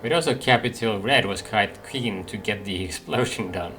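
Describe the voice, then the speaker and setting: Low-voiced man commentating on a game. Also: pushed and bright, soft background music. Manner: halting, thinking aloud. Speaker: gaming video host. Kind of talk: casual playthrough commentary.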